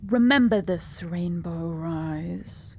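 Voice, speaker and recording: A woman voicing a sadistic villain pony in a dramatised audiobook, hushed and threatening. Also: lowish voice, takes it slowly. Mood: cold menace. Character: sadistic menacing villain pony who tortures with a knife.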